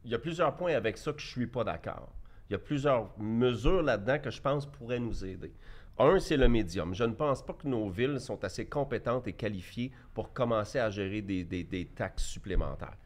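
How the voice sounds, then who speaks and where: A man's voice: medium pitch, expressive, moderate pace. A man in his fifties with a Québécois accent, giving his blunt opinions as a guest in a debate, often restarting his sentences. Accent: Québécois French. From Quebec. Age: 50s. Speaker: guest, entrepreneur. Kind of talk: debate interview.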